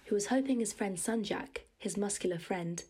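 A woman's voice: medium pitch, normal speed, darkish tone, breathy edge. A woman reading the credits and narration of an audio drama in a plain, matter-of-fact manner. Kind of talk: scripted storybook narration. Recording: music underneath.

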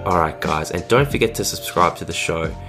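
A hoarse man with a cold, a podcast host giving an upbeat introduction and speaking directly to listeners. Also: low voice, animated, fairly quick. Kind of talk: scripted show intro. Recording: music bed under voice.

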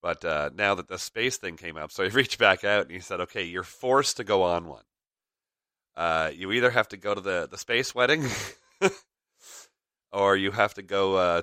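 Podcast host, male, low voice with a slight rasp, rambling, filler-heavy delivery, dry sound. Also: sharp tone, no echo. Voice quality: raspy. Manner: rambling, frequent fillers, chuckles. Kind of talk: casual rambling chat.

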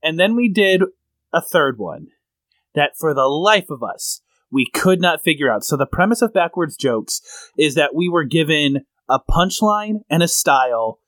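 A lively man co-hosting a comedy podcast, his voice sitting a bit high and bouncing around a lot as he explains and tells stories. He is close on the mic in a dry, dead room. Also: measured pace, no room sound. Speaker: podcast co-host. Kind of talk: casual comedy podcast chat.

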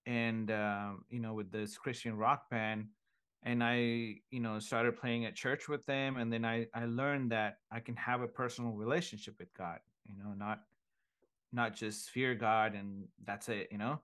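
Smooth-voiced man from Sri Lanka. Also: mid-range voice, level tone, fairly bright tone. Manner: halting, many ums and uhs. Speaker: guest sharing his faith story. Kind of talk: casual faith testimony.

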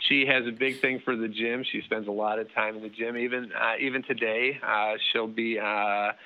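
Low-voiced middle-aged man on a phone line. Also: normal speed. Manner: relaxed, many fillers and restarts. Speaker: interview guest. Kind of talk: casual storytelling interview.

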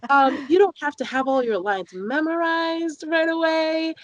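A woman in her thirties from London, telling personal stories casually and jokingly as an interview guest, with animated emphasis. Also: high voice, measured pace, dry recording, dull audio.

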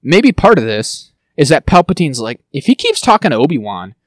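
A man co-hosting a podcast, chatting casually and quickly, with lively asides and quick mock voices. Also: on the high side, dry recording. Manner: fast, animated, breaks into quick mock impressions. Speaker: podcast co-host. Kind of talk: casual chat about TV shows.

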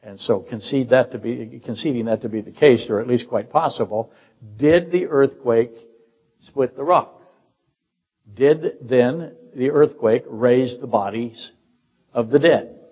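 A man's voice: mid-range voice, measured pace, husky. An older man preaching a sermon as pastor, firing off rhetorical questions to teach his congregation. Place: church sanctuary. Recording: dull, echoey church sermon recording.

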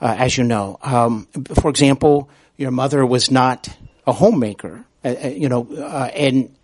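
A man with a mid-pitched voice hosts an interview podcast. He talks in an earnest, halting manner. The sound is close and dry, with little room in it. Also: darkish tone, dry recording. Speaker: podcast host. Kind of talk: interview with spoken introduction.

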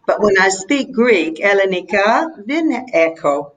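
A woman in her seventies from North Carolina with a Southern US accent, chatting as an interview guest in a reflective, halting, anecdotal way. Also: mid-range voice, very animated, muffled recording. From North Carolina, USA. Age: 70s. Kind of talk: casual interview chat.

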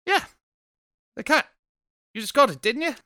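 A man's voice: very high-pitched, expressive, normal speed, pushed and bright, dry recording, studio quality, exaggerated voice. A man playing a nosy, chatty, stubborn off-duty policeman neighbor in a table read of an old radio horror play, with folksy, blustery speech. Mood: confiding, sheepish.

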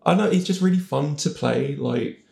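Gravelly man in casual chat. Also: a little high, moderate pace, dark tone. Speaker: voice actor guest. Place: living room. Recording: roomy living-room sound.

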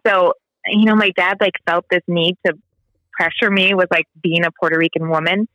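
Chatty woman in her thirties, bright voice. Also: fairly low pitch, fairly even intonation, moderate pace, no echo, muffled recording. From American Midwest. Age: 30s. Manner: candid, chatty, lots of "like" and "you know". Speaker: guest. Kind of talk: personal conversation.

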